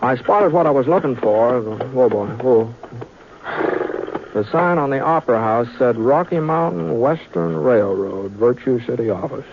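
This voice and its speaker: An actor playing a soft-spoken Texas plainsman gunfighter who narrates his trail and stammers through dialogue in a 1950s radio drama. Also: medium pitch, animated, measured pace, vocal fry, background noise. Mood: reflective. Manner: hesitant, stammering, folksy drawl. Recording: tinny old radio broadcast with music.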